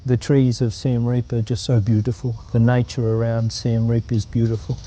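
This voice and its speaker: Earnest middle-aged Australian man, dark voice. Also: mid-range voice, little pitch movement, a little hiss or hum. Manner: earnest, reflective, unhurried. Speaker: guest. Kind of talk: interview answers.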